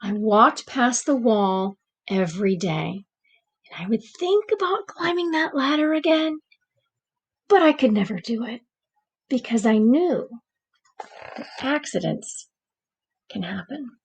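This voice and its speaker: Gentle, anxious storybook egg read by a woman: slow, creaky, expressive, with dramatic pauses. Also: a little high, a little hiss or hum. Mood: subdued, fearful. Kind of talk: picture book read-aloud.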